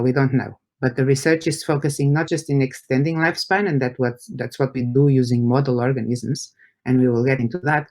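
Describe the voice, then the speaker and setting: A man from Portugal, a native Portuguese speaker, lecturing in English as a university professor, explaining haltingly with repeated words and rhetorical questions. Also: medium pitch, darkish tone. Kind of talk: academic lecture to an audience.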